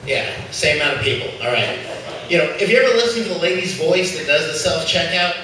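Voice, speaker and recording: A stand-up comedian with a slightly high voice tells his jokes loosely, with "uh" filling the pauses. The recording comes from far off in a club that echoes heavily. A steady layer of noise sits under his voice. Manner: loose joke-telling with frequent "uh" pauses. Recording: echoey noisy club room from afar.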